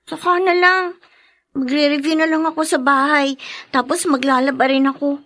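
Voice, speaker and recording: A woman's voice: high voice, fairly even intonation, moderate pace, a bit bright, no room sound. A woman playing a dutiful, weary fifteen-year-old girl in a radio drama, caring but plain-spoken and reproachful. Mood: casual, resigned.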